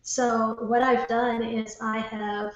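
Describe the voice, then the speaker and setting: A woman guest in a casual conversation, explaining her thoughts haltingly, with pauses between phrases. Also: on the high side, level tone, lo-fi sound. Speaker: interview guest, writer. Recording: very echoey, roomy sound.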